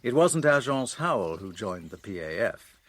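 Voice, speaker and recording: A man's voice: fairly low pitch, sing-song, normal speed. A man playing a polite but persistent, probing journalist in a radio drama.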